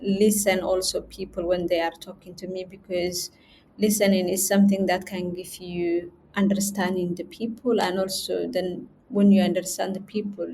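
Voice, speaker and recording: A young woman, a guest in an interview, talking in a halting way with many fillers and restarts. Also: fairly low pitch, little pitch movement, creaky, mellow, slight background noise. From Somali region. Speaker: guest, chief editor. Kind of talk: interview conversation.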